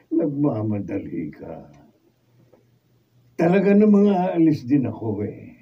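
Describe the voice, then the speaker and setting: A man's voice: mid-range voice, huge pitch swings, slow, slightly rough, heavily acted. An actor with a Filipino accent playing a vengeful, menacing ruined businessman in a radio drama. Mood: menacing, taunting. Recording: dull, noisy, distant studio camera recording.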